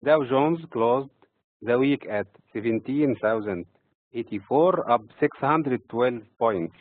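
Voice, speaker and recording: A man from Egypt with an Egyptian accent reads prepared financial market commentary in a measured, halting way, breaking phrases mid-sentence. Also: medium pitch, animated, no echo. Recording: tinny, phone-like narrow-band audio.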